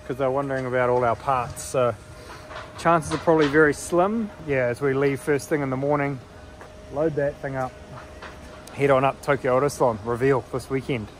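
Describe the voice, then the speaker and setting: A man's voice: medium pitch, fairly bright tone, a little hiss or hum. A man, giving casual, exclamatory vlog narration into a handheld phone. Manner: informal, exclamatory, slangy. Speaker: vlog host, race car driver. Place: on the move outdoors, in a vehicle and in a large exhibition hall. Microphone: handheld phone at arm's length. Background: music.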